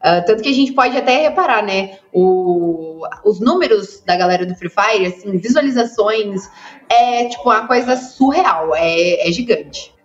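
A woman's voice: medium pitch, animated, unhurried. A young woman, an esports caster, chatting emotively with lots of fillers in a casual video call interview, on an echoey, dull lo-fi recording.

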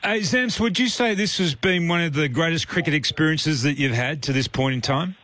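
A man's voice: on the high side, moderate pace, fairly bright tone, slight background noise. An Australian man asking questions in a casual radio sports interview.

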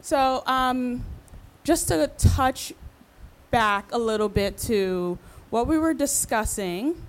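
A woman with a mid-range voice conducts a conversational interview. She words her questions with care, feeling her way into them a little tentatively. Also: mid-range voice.

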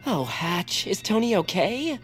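An actress voicing a patient, practical bird friend who owns the house, speaking in a coaxing, level-headed way. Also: female voice, mid-range voice, big pitch swings, unhurried, soft background music. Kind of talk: audio drama acting.

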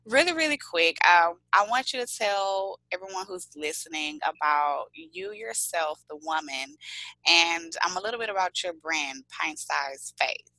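A woman's voice: lowish voice, lively intonation, unhurried, pushed and bright, dry recording, poor audio. A woman from Houston hosting a casual podcast interview, gushing and enthusiastic as she chats with her guest. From Houston, Texas. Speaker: podcast host.

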